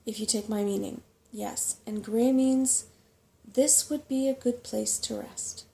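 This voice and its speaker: A woman voicing a self-important, grasping little male rat mapmaker in a soft-spoken storybook reading, with a fussy, portentous manner. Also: fairly high pitch, steady tone, a little slow, gravelly. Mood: portentous, instructive. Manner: portentous, fussy, easily affronted.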